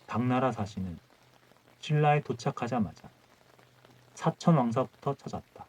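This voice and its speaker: A man's voice: mid-range voice, lively intonation, normal speed, a little mellow, low-quality recording. A man narrating a scripted historical folktale as an audiobook storyteller.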